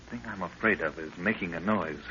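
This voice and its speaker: An actor playing an earnest, anxious young novice adventurer, polite and deferential, in a 1930s radio drama. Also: male voice, deep voice, a little fast, bright, forceful tone, slight background noise. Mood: worried. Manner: polite, respectful, worried. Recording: muffled 1930s radio recording.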